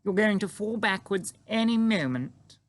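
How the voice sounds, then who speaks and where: A man voicing a regal older Queen in an audiobook, with an imperious, deliberate and commanding manner. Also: sits high, expressive, voice pushed up. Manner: imperious, drawn-out deliberate speech. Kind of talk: audiobook character acting.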